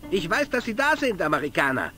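A man's voice: high voice, sing-song, quick pace, pushed and bright, full character voice. An actor playing a hot-headed, servile manservant of a noble lady in a video game, theatrical and threatening. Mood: angry. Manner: theatrical, threatening toward intruders, fawning to his mistress.

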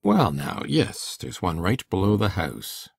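A woman voicing a shy, gentle older bachelor farmer in a slow, halting, plain-spoken way for an audiobook. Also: a bass voice, very animated, gravelly, slightly rough, pitched below the performer's own voice, heavily acted. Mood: hesitant, mild. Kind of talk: dramatic audiobook reading.

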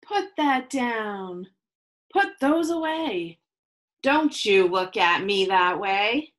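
A woman in her thirties reading a children's picture book aloud in slow, sing-song rhymes, recorded on a webcam at home. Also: medium pitch, sing-song, a bit bright. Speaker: children's read-aloud host. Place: home room with plants, indoors.

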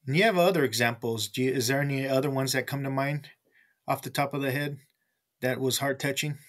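A man's voice: mid-range voice, brisk. A man hosting a podcast, asking short prompting questions over a headset in a home office with bookshelves. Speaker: podcast host. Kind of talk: interview questions. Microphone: headset microphone.

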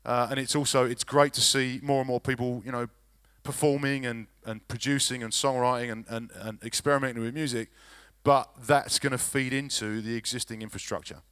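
A man in his forties, a record label executive, answering at a conference panel on a handheld mic, measured with many fillers and restarts. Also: medium pitch, fairly even intonation, a bit bright, clear, resonant tone. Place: large conference hall stage.

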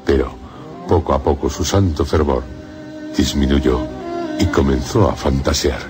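A man's voice: rumbling low, unhurried, music in the background. A man narrating a scripted gothic story as the narrator of a radio drama.

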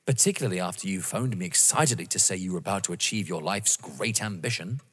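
A man's voice: low-pitched, lively intonation, fast, a bit bright, slightly rough, exaggerated voice. An actor playing a mocking, vengeful young man in an audio drama, theatrical and savouring each word. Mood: coolly confiding. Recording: reverberant hall-like room.

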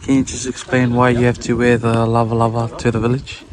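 A man vlogging a river trip outdoors in a relaxed, chatty way. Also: medium pitch, a little fast, crowd noise. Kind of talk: casual travel vlog chat. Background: music.

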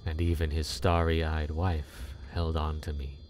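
A man narrating a dark scripted story in a brooding way, with dramatic pauses. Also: very deep voice, flat delivery, slight background noise. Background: background music.

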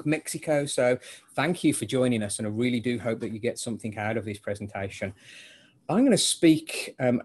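A middle-aged man with a British accent giving a clear, steady medical teaching webinar over a video call. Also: lowish voice, slight background noise. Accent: British English. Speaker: webinar presenter. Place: indoor office with a logo backdrop.

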